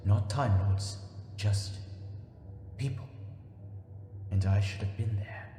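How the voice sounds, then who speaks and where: A man's voice: on the low side, slow pace, warm tone, a little hiss or hum. A man playing a solemn, mysterious time-travelling storyteller, narrating a sci-fi audio drama in a portentous way. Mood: solemn, ominous. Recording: echoey, long reverb, music behind.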